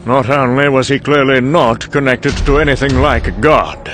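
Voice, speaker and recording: A man's voice: mid-range voice, normal speed, a bit bright, slight background noise, lightly acted. A man playing a cynical scientist, delivering a dry, weary, sardonic dramatic monologue for a motion comic. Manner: dry, sardonic, weary musing with trailing asides. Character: cynical, sardonic British male scientist briefing an American colleague.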